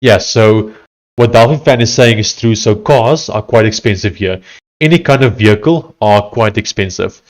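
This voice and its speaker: A man with a heavy Afrikaans accent talks casually to his livestream audience. His voice sits a little low, and he reads chat and on-screen text aloud in a halting, conversational way. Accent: South African, Afrikaans. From South Africa. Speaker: livestreaming coder.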